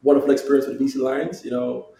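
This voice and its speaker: A man's voice: mid-range voice, fairly even intonation, racing, vocal fry, dark tone, reverberant, poor audio. A man in his thirties from Jamaica, speaking casually as an athlete being interviewed, often repeating phrases and using verbal tags. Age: 30s. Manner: repetitive, peppered with "you know". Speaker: football player, interview guest.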